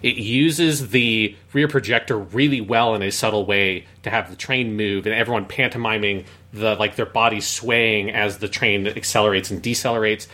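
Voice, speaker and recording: A man's voice: lowish voice, fairly even intonation, moderate pace, hardly any pauses, pushed and bright. Man analyzing in casual podcast talk. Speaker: podcast co-host. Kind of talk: casual review discussion.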